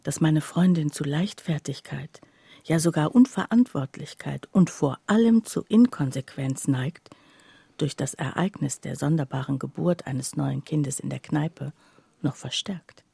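An actress playing a skeptical woman confiding about her friend in a radio play, her voice husky and breathy and her delivery hushed. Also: low-pitched, measured pace, no echo, full character voice. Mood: doubtful, grave. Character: skeptical female friend recounting her friend's odd behaviour. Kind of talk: literary radio play narration.

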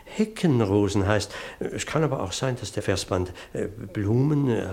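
Radio play role: pedantic, earnest parson, rambling and easily flustered, lively intonation, gravelly edge. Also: male voice, on the low side, moderate pace. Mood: earnest, meticulous. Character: fussy, long-winded, earnest male parish clergyman. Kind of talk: German radio play acting.

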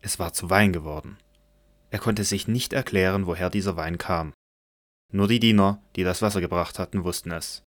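A man narrating a scripture audio drama in a measured, solemn manner from a script. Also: sits low, dry recording.

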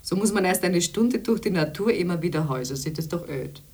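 A woman acts a chatty, sociable conference colleague with a notably low voice. She talks in a wry, teasing way and likes to complain, in radio play dialogue. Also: animated, brisk, a little mellow, lightly acted. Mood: wry complaint.

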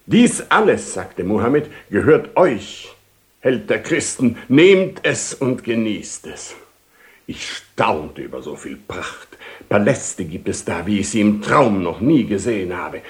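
A man's voice: fairly low pitch, very animated, slow pace. An actor playing a pompous, ceremonious knight commander and father in a radio play, long-winded and repetitive. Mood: grandiose, marvelling. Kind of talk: German-language radio play acting, 1970.

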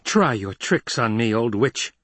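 Guy doing a young heir, cold anger, bossy and declamatory, super clear and dry sound. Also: mid-range voice, expressive, a little slow, no echo. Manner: forceful, declamatory, imperious. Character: commanding young man, defiant, coldly angry heir.

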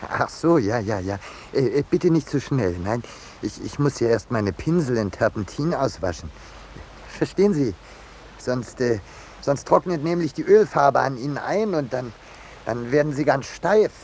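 An actor playing a polite, hesitant and peaceable painter in a 1950s German radio drama. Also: male voice, medium pitch, lively intonation, moderate pace, darkish tone, slight background noise, natural voice. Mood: flustered. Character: mild, peaceable, hapless male landscape painter.

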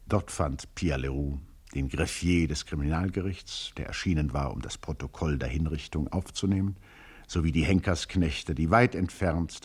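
A man's voice: deep voice, husky. A man reading a scripted literary story as narrator, with formal diction and an eloquent, ironic, rhetorical delivery.

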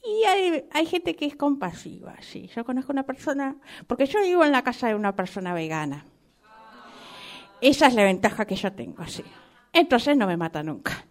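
A woman's voice: high-pitched, lively intonation, moderate pace, faint music behind. A woman with an Argentine accent voicing a chatty, sentimental mother cockroach in improvised comic radio theatre, repeating herself coyly. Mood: chatty, fond. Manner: repetitive, coy, hesitant, conversational asides.

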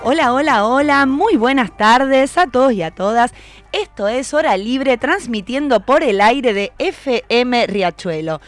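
Woman, Buenos Aires Argentine accent, higher-pitched voice, chatty live radio host, joking, faint music behind. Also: expressive, measured pace, no echo. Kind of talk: casual live radio hosting. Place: radio studio. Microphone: studio microphone.

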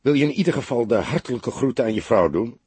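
A man's voice: mid-range voice, animated, quick pace, a little mellow, slightly rough, no room sound. A man voicing an insecure, sensitive young police detective in an audiobook, speaking in a timid, hesitant, earnest way. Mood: timid, downcast.

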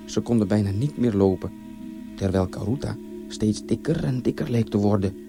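A man's voice: fairly low pitch, normal speed, creaky, over music. A man telling a story as a narrator, reading scripted narration.

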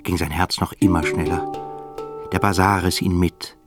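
A man's voice: deep voice, steady tone, moderate pace. A man narrating a literary radio play in a scripted storytelling style. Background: loud guitar music.

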